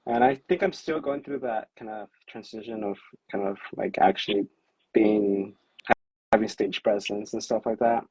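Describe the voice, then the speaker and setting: A man on a livestream video call, chatting casually and hesitantly with many fillers, with a fairly monotone voice. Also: lowish voice, normal speed, slightly rough, no echo, poor audio. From California. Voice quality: self-described monotone. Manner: hesitant, many fillers, self-described awkward and anxious. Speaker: musician on a livestream chat.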